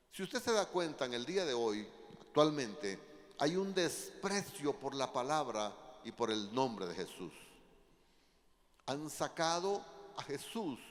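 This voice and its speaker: A man with a Guatemalan accent preaching a sermon to a congregation, speaking emphatically. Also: on the high side. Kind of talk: sermon with personal anecdotes and Bible readings. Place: church hall, preaching to a congregation. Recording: echoey hall with long reverb.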